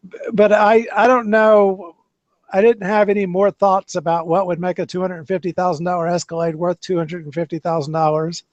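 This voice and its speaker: High-voiced man rambling in casual chat. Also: little pitch movement, a little fast, dry recording. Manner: rambling, many restarts and fillers. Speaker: podcast host. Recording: dull lo-fi dry sound.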